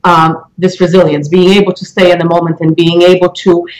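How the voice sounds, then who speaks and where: A woman in her forties with a Polish accent, giving reflective interview answers in halting, deliberate phrases. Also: fairly low pitch, level tone, low-quality recording. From Poland. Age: 40s. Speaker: interview guest, mortgage loan originator.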